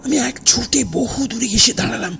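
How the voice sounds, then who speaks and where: A man narrating a first-person horror story in a theatrical, suspenseful way, with dramatic pauses and hushed phrase endings. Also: very high voice, vocal fry. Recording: voice over background music. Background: music.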